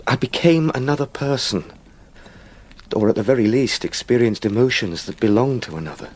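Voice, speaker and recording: A man's voice: mid-range voice, lively intonation, normal speed, lightly acted. Young English man, acted, radio drama solicitor; mid-range voice, earnest, polite, shaken and convalescent. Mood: earnest, haunted. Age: young adult. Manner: earnest, gentle, formally polite. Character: earnest young solicitor, humbled and haunted, recovering from illness.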